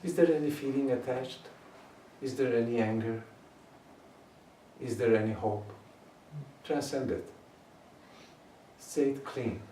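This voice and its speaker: A man leading a guided meditation, slowly drawing out and chanting short phrases. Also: mid-range voice, warm tone, slight background noise. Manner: slow, drawn-out chanting of phrases. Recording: echoey room, mic at a distance.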